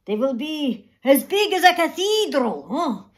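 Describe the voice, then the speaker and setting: A woman's voice: sits high, very animated, a little slow, pitched above the performer's own voice. A woman voicing an exasperated, dramatic old grandfather in a storybook, with theatrical exclamations and sighs.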